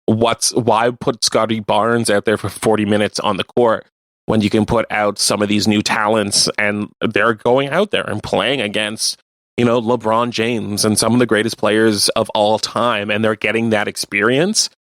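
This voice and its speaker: Low-voiced man, casual sports chat. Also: level tone, moderate pace, fairly bright tone, no room sound. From Canada. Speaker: radio co-host.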